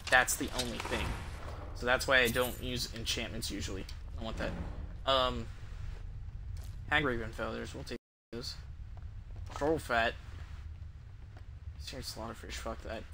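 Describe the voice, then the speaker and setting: A man's voice: medium pitch, fairly even intonation, a little slow, long pauses, pushed and bright, slight background noise. A man giving casual, rambling gameplay commentary in a hesitant, profanity-prone way. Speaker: game streamer.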